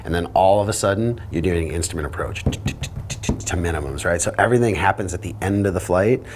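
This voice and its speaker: A man's voice: deep voice, fairly quick, background noise. A man speaking as a flight instructor, explaining procedures in a teaching style. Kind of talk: explanatory lesson talk.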